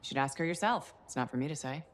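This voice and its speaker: An actress playing a level-headed, loyal team leader in a video game, speaking in a direct, dry, reassuring way. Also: female voice, sits low, expressive, fast, a little hiss or hum. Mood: dry, curious. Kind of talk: video game cutscene acting.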